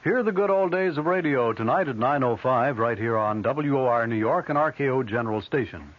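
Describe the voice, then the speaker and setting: A man's voice: medium pitch, animated, fairly quick, slight background noise. An American man reading scripted promotional announcements as a radio station announcer. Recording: dull 1970s radio broadcast.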